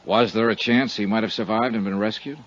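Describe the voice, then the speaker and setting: A man's voice: fairly low pitch, steady tone, a little fast, close to an everyday voice. An American man playing a hard-boiled, wry insurance investigator in a 1950s radio detective drama, with clipped, dry delivery. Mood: probing, dry. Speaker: radio drama lead actor and narrator. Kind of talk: 1950s radio detective acting, first-person narration. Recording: dull 1950s radio recording, music.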